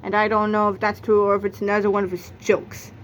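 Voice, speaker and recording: A woman's voice: mid-range voice, completely flat delivery, fast talker, a little hiss or hum, poor audio. A woman narrating a read-aloud of a children's comic novel, acting out its exclamations and sound effects. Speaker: book narrator.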